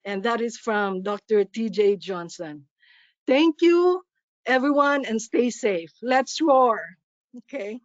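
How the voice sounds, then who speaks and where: Woman, Filipino accent, slow careful reading, somewhat high voice, dry dull lo-fi sound. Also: lively intonation, no room sound. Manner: slow, careful reading with small self-corrections. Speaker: club officer presenting at a district meeting. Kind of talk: reading a poem and listing names at a meeting.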